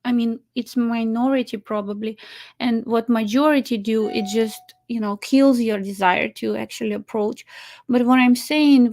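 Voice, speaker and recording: A woman from Ukraine with a Ukrainian accent, a matchmaker talking casually with many fillers, restarts and laughs. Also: on the high side. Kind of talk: casual chat.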